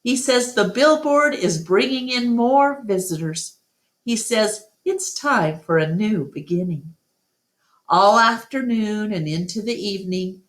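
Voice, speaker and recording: A woman reading a children's novel aloud as storyteller, in deliberate, expressive scripted narration. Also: fairly low pitch.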